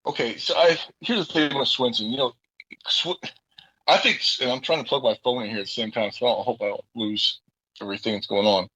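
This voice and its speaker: A man's voice: medium pitch, fairly quick, sharp tone, breathy edge, no room sound, low-quality recording. A man giving casual, unscripted interview answers as a guest voice actor, rambling on in a chatty, earnest way.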